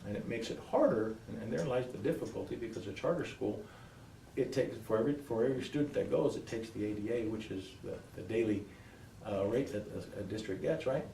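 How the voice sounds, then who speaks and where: A man with a mellow voice gives an answer at a public forum. He pauses and repeats phrases for emphasis. The sound is roomy and picked up from a distance, with faint noise behind him. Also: fairly low pitch, vocal fry. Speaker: school board applicant. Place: indoor public forum room.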